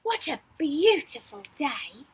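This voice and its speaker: A woman reading a children's picture book aloud in a theatrical, expressive way, with gasps, whispers and drawn-out words. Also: high-pitched. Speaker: teacher reading a bedtime story for schoolchildren.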